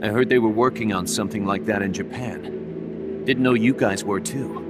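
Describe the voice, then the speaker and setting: A man playing a sharp, suspicious mercenary second-in-command in a game cutscene, speaking in a probing, direct way. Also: on the low side, normal speed, loud music behind the voice, lightly acted. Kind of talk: dramatic game cutscene acting.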